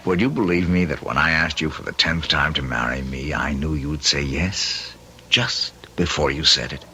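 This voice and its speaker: Very deep-voiced, eccentric husband. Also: male voice, bright, forceful tone, full character voice. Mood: defensive, earnest. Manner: intense, condescending, halting and rhapsodic. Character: eccentric, self-assured husband obsessed with psychic powers. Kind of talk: old-time radio drama acting. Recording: noisy old radio drama recording.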